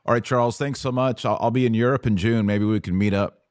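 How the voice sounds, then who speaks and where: A man's voice: mid-range voice, steady tone, fast talker, darkish tone, dry recording. A man hosting a podcast in a conversational interview style. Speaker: podcast host.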